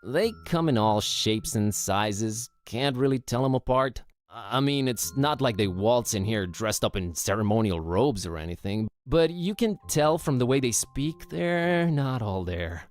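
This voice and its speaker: A man's voice: mid-range voice, lively intonation, measured pace, hardly any pauses, close to an everyday voice. Man acting a wry young student librarian. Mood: wry, chatty. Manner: casual, wry, dismissive. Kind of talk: animated video game voice acting.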